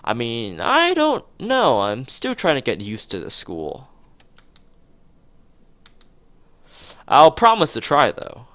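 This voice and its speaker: A man's voice: mid-range voice, wildly swooping pitch, a little slow, a bit bright, dry recording, voice pushed up. A man voicing a hesitant, defensive teenage schoolboy in a visual novel, evasive and reluctant. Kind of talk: visual novel dialogue read aloud.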